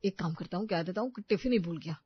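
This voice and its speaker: A woman voicing a weary, sarcastic husband who grumbles and gets embarrassed, in an animated family story. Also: lowish voice, quick pace, husky, muffled recording. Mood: nervous.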